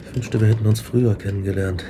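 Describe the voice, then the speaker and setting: An actor playing a despairing, guilt-ridden, exhausted man who hunts a monster, speaking gravely and wearily in a radio drama. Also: fairly low pitch, steady tone, quick pace, noisy background, lightly acted. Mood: sad, grateful. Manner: grave, weary, anguished.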